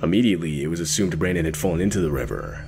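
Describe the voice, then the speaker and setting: A man with an extremely deep voice reads a scripted true-crime narration. He speaks as the narrator of a documentary-style video. Music plays clearly behind him. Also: rapid-fire.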